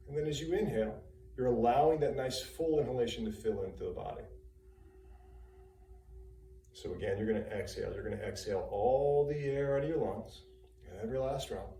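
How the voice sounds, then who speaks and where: Male meditation guide, dark relaxed tone, calm spaced-out phrasing; roomy, distant recording with faint background hum. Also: medium pitch. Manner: calm, unhurried, soothing, with pauses between short phrases. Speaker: personal trainer leading guided meditation. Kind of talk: guided meditation, gentle spoken instruction.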